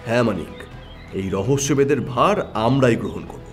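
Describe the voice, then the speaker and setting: A man's voice: medium pitch, lively intonation, moderate pace, soft background music, natural voice. An actor playing a keen, confident male detective who reasons emphatically in an audio mystery drama. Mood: certain, emphatic.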